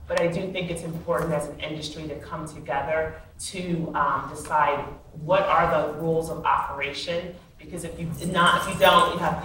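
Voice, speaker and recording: Woman, very low voice, earnest panelist, distant mic, booming echo. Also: a bit bright, slight background noise. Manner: earnest, many fillers and restarts. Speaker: panelist, attorney. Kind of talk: conference panel discussion. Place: large conference hall. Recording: echoey hall, mic from afar.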